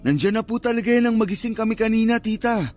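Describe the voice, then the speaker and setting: An actor playing a nervous, well-meaning young cousin in a radio drama, colloquial and concerned. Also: male voice, high voice, expressive, quick pace, darkish tone. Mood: placating.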